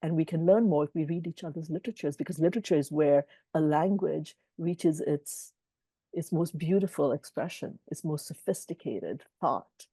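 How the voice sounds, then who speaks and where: A woman's voice: low-pitched, moderate pace, breathy edge, no echo. A middle-aged woman publisher answering interview questions over a video call, thoughtful and earnest. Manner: thoughtful, earnest, warmly reflective.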